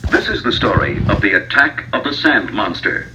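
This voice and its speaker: A man's voice: lowish voice, lively intonation, moderate pace, background noise. A man narrating a scripted children's storybook as a dramatic storyteller. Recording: loud music behind.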